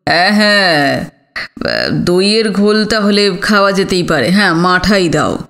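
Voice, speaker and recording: A woman voicing a genial, curious city gentleman and father in an audiobook, chatty and inquisitive. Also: lowish voice, big pitch swings, a little slow, pitched below the performer's own voice, exaggerated voice. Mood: pleased, affable. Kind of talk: audiobook character dialogue.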